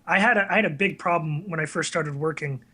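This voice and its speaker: A man's voice: a little high, quick pace, sharp tone, husky. A man talking casually as a podcast guest, telling candid, self-deprecating stories in a conversational way. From US tri-state area. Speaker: podcast guest, online video creator.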